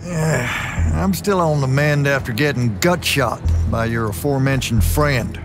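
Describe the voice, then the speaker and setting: A man's voice: medium pitch, measured pace, over music, lightly acted. A man playing a sardonic, drawling mentor. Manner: drawling, sardonic, folksy slang. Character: wounded, gruff older male mentor, sardonic. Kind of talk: video game cutscene acting. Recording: game audio with orchestral score.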